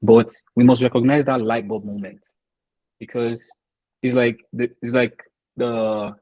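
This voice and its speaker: A man's voice: medium pitch, steady tone, measured pace, no room sound, lo-fi sound. A man hosting a podcast, talking reflectively with lots of fillers and hesitations. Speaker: podcast host. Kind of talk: casual podcast interview.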